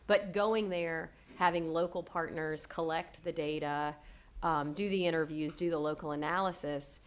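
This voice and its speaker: A woman on a panel explaining how a research method works. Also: low-pitched. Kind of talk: panel discussion.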